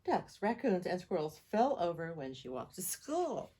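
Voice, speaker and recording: A woman reading a picture book aloud to children in an expressive, animated storytelling style. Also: on the low side, measured pace, a little hiss or hum. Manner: animated, repeats sound words.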